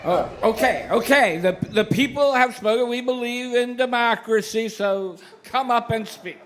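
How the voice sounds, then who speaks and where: A man's voice: very high voice, normal speed, a bit bright, slight background noise. A man playing a flustered, deferential master of ceremonies, hesitant and stammering, in a church dramatic reading. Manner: hesitant, stammering, repeats himself.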